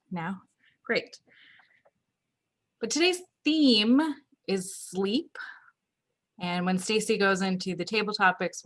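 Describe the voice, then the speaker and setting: A woman's voice: fairly low pitch, a bit bright. A woman hosting an online club meeting, upbeat and organized as she runs through roles and reminders. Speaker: toastmaster of the meeting. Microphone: video call.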